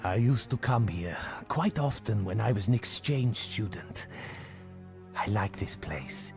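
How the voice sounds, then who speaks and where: Wistful easygoing man, creaky low drawl, game cutscene with music behind. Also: animated, measured pace. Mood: wistful, relaxed. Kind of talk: video game cutscene acting. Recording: music under the voice.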